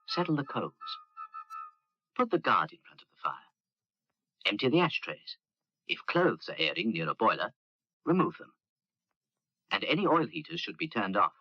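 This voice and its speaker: A man's voice: lowish voice, bright, forceful tone. A man narrating a vintage public safety film, giving instructions in short, firm commands. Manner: instructive, clipped imperatives. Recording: dull vintage film soundtrack.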